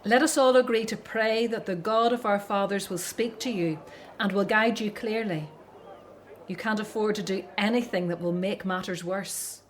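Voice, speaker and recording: An actress playing a caring, concerned Hebrew older sister in a religious radio drama. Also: female voice, mid-range voice, fairly even intonation, normal speed, a little hiss or hum, close to an everyday voice. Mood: grave, concerned.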